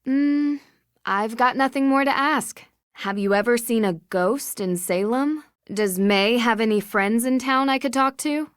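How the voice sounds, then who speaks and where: A woman's voice: a little high, measured pace, clear, resonant tone, dry recording, studio quality, close to an everyday voice. A woman voicing a young American private investigator in a video game, friendly, earnest and inquisitive. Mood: earnest, considerate. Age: young adult. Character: polite, inquisitive young woman detective, eager and considerate. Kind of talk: scripted video game dialogue.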